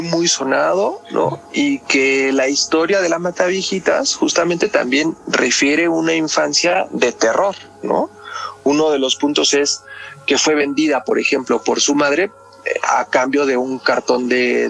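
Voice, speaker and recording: A man's voice: on the high side, gravelly, fairly bright tone, husky. A Mexican man explaining things conversationally as a psychologist guest on a podcast, often ending phrases with a tag question. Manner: constantly checks with tag questions.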